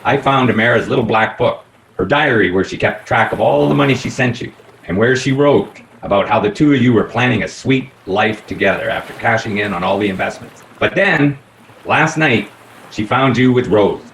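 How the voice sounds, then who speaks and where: Echoey room, male actor as detective, medium pitch, shrewd, accusing, deliberate, folksy, radio play. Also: fairly bright tone, lightly acted. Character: shrewd, folksy detective inspector laying out a case. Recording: echoey room with faint noise.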